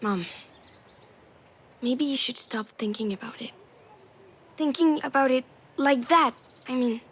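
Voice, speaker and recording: A woman voicing a young daughter in a dramatic video game, curious, gentle and consoling, speaking with earnest support. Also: sits high, unhurried, husky. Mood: gentle concern.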